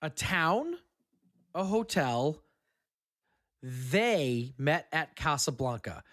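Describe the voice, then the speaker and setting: A man's voice: a little high, big pitch swings, very slow, fairly bright tone. A man hosting a casual podcast chat, leading the others through a guessing game.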